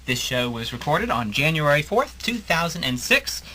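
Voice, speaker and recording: A man's voice: a little high, very animated, moderate pace, sharp tone, slight background noise. A man chatting casually as a cast member and editor of an amateur audio drama. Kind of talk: casual chat.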